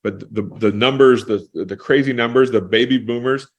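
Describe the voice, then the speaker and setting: A man's voice: medium pitch, normal speed. Enthusiastic man in his forties. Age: 40s. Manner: enthusiastic, emphatic. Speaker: guest. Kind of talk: casual interview chat.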